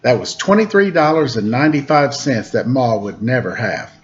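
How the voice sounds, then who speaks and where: A man's voice: medium pitch, lively intonation, normal speed, slight background noise. Man reading aloud, dull lo-fi recording. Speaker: teacher reading a storybook aloud. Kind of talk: read-aloud storytelling with casual asides.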